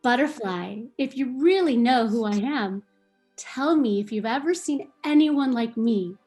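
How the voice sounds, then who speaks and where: A woman reading the part of a lonely female unicorn searching for others of her kind, in a slow, wistful way. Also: a little high, lively intonation, a little mellow, faint music behind. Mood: pleading. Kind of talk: play table read.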